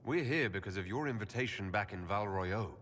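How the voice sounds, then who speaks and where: An actor playing a blunt hero in a fantasy video game, speaking in a direct, questioning way. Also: male voice, lowish voice, lively intonation, brisk, fairly bright tone, big-room sound. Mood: blunt, challenging. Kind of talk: video game dialogue acting.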